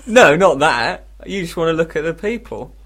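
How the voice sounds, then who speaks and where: Male radio co-host, British, voice a touch high, giggly and rambling, casual comic chat. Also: lively intonation, normal speed, a bit bright, husky. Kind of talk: casual comedic radio banter. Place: radio studio.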